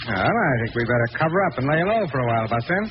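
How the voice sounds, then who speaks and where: An actor playing a scheming, murderous gangster boss with tough, taunting talk in an old radio crime drama. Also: male voice, mid-range voice, big pitch swings, very fast, lightly acted. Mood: sly, commanding. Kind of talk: 1940s radio acting. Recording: noisy old radio recording, muffled, hissy.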